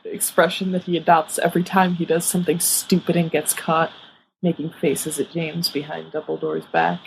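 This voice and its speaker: A woman's voice: sits low, little pitch movement, moderate pace, creaky, a little hiss or hum, poor audio. A woman reading fiction aloud as scripted audiobook narration. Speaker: audiobook reader.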